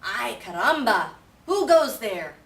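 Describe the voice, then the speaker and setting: Woman voicing a excitable, boastful little boy kitten, swooping pitch. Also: slow pace, exaggerated voice. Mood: swaggering. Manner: exclamatory, dramatic, sing-song when rhyming. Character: playful, boastful little boy kitten posing as Chihuahua hero. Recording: roomy, distant mic with faint noise.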